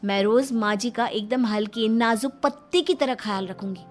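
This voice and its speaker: A woman's voice: fairly high pitch, moderate pace, faint music behind, close to an everyday voice. A woman playing a carefree, flippant, sweet-talking young daughter-in-law in a story. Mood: sweetly placating.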